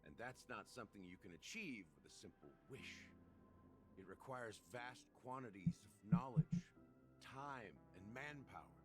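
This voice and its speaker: An actor playing an enigmatic older game character, a sly rebel who talks in a measured, theatrical, wry way. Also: male voice, medium pitch, expressive, natural voice. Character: enigmatic older man, sly rebel revealing his secrets. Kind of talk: video game story dialogue. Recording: music behind the voice.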